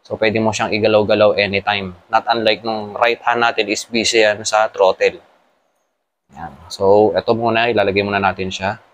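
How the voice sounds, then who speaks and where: Low-pitched Filipino man explaining casually. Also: flat delivery, moderate pace, dull audio, a little hiss or hum. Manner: explanatory, mixing Tagalog with English terms. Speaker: motovlogger. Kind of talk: casual hands-on tutorial.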